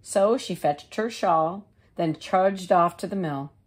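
A woman teacher reading a children's storybook aloud in a measured, expressive way. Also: on the low side, husky. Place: living room couch, indoors.

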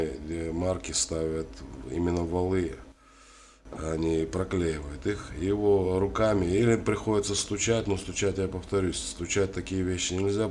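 Low male voice, slight cold, casual workshop demonstration, halting delivery, clearly noisy recording. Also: a little mellow. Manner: halting, thinking aloud while working. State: has a cold. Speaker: DIY tutorial host. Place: indoors at a wooden worktable.

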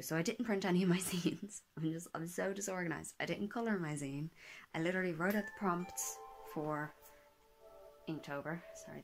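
A hoarse young woman, chatting casually to camera in her bedroom with lots of ums and self-deprecating asides. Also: sits low, a little hiss or hum. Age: 20s. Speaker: vlogger. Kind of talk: casual vlog chat. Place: small bedroom indoors. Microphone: laptop or phone camera at desk.

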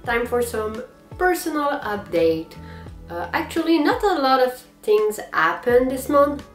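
A young French Canadian woman, French her first language, talks slowly and loosely to camera. Her voice rings a little in an echoey room, with soft music faintly underneath. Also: high voice, big pitch swings. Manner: unhurried and improvised, frequent pauses and fillers with short laughs. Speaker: cosplayer vlog host. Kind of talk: unscripted casual vlog. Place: small indoor guest room with manga shelves.

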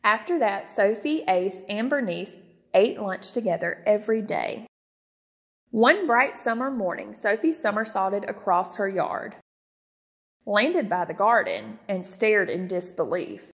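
A woman's voice: medium pitch, animated, unhurried, creaky, fairly bright tone. Woman reading a storybook in a reverberant room. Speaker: storybook reader. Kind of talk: children's read-aloud narration.

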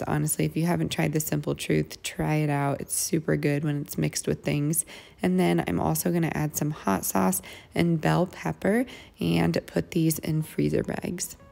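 A woman's voice: low-pitched, creaky, warm tone. An American woman in her thirties narrating her meal prep casually as a home vlogger.

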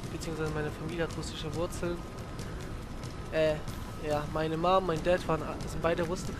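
A young man from Germany giving rambling, crude-slang commentary on his let's play, with loud outbursts. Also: on the high side, noisy background. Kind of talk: casual gaming commentary. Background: game music and sounds.

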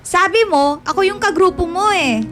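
A woman playing a clingy, possessive high school girl in a radio drama, with a pleading, whiny insistence. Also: moderate pace, fairly bright tone, faint music behind. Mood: pouting, hurt.

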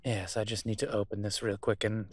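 A man's voice: on the low side, little pitch movement, brisk, very noisy, natural voice. A man playing a refined, centuries-old vampire in a roleplay audio drama, pompous, wry and teasing. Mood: wry, brisk. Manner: pompous, wry, posh turns of phrase.

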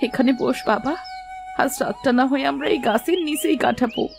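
Woman acting meek, sorrowful village mother, high-pitched. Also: fairly quick, creaky, over music. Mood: sad, resigned. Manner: pleading, submissive, gentle. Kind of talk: animated moral story acting.

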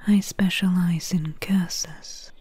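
A woman voicing a calm, mysterious swamp witch healer in a whispery, soft-spoken ASMR roleplay, close to the mic. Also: fairly low pitch, no room sound, exaggerated voice. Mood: calm, inviting. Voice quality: whispery, breathy. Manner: slow, hushed, unhurried.

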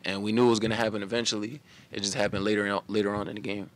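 A young man, a college basketball player, answering reporters after a game in a relaxed, run-on way at a table mic. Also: fairly low pitch, rapid-fire, no echo. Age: young adult. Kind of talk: post-game press conference. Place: press room at a table before a logo backdrop.

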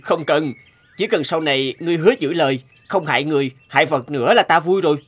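A man's voice: a little high, quick pace, lightly acted. A man playing a kind, earnest poor young scholar in a cartoon fairy tale. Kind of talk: cartoon voice acting.

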